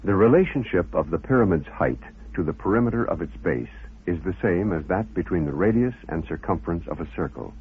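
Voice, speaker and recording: Male narrator, very low register, measured scholarly read, heard through narrow, phone-like radio audio. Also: husky. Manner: formal, measured, expository. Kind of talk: scripted narration, reading scholarly text. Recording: muffled phone-like old radio recording.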